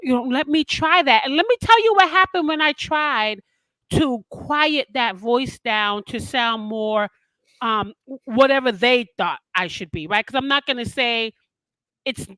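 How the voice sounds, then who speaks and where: A woman with a loud, commanding voice, chatting casually on a podcast. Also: fairly high pitch, animated, a little slow, no echo, poor audio.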